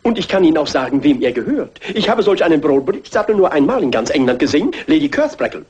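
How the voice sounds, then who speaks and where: A fast-talking, highish male voice as a pompous gentleman innkeeper in a radio mystery. He is husky and excitable, the very picture of a horsey country snob. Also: muffled recording. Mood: excited, delighted. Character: huffy, pompous, horse-loving gentleman hotel keeper. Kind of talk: German radio crime drama acting.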